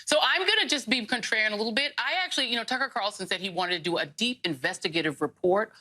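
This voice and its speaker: Woman anchor running a news panel, talks fast, bright edgy voice, teasing. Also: mid-range voice. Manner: quick, wry and teasing, drives the questions. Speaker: news show host. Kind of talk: cable news panel discussion.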